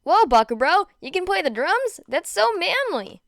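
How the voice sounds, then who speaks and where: A woman's voice: extremely high pitch, big pitch swings, normal speed, gravelly, a bit bright, dry recording, clean studio sound, pitched above the performer's own voice, full character voice. A woman voicing a friendly, enthusiastic teenage boy with a gushing, slangy manner in a story. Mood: amazed.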